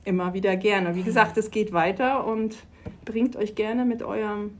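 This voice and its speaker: Woman, lively interview talk. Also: medium pitch, normal speed, a little hiss or hum. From Switzerland. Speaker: sports organization official. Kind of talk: conversational interview.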